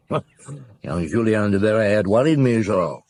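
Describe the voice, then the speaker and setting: A man playing a weary, thoughtful middle-aged chief police inspector in a radio drama, questioning in an unhurried way with murmurs and sighs. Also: lowish voice, expressive, a little mellow, heavily acted. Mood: pensive, troubled.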